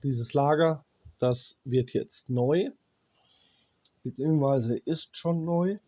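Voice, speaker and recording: German repair guy, got a cold, slow and blunt, mid pitch. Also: mellow, highs cut off. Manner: blunt, plain-spoken, swears at cheap gear; pauses while working, talks to himself as he checks parts. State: has a cold, coughing. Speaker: appliance repairman. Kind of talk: hands-on repair explanation.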